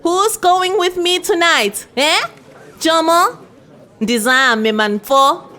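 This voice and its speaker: A woman voicing a brash, domineering South African tavern woman, loud and brazen, in an acted short story reading. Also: very high voice, expressive, slow pace, pitched above the performer's own voice, full character voice. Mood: brazen, taunting. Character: brash, domineering women of a township drinking house.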